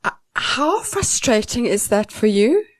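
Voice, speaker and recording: A woman's voice: a little high, sing-song, measured pace, no room sound. A woman co-hosting a podcast interview, asking curious, probing questions. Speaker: podcast co-host.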